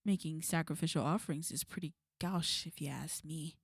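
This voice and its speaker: A woman playing a smug, menacing villain in an ASMR roleplay, taunting and threatening in a cool, unhurried way. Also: sits low, steady tone, breathy edge, dry recording, studio quality. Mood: cold, taunting. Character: smug, menacing female villain, fond of an enemy.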